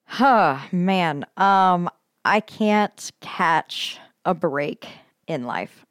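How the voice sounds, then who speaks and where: A woman's voice: on the low side, lively intonation, drawn-out words, no room sound, clean studio sound. A woman co-hosting a casual podcast, still getting over a sinus infection, her voice going in and out, blunt and swearing casually. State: recovering from sinus infection, voice goes in and out. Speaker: podcast co-host. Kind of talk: casual chat.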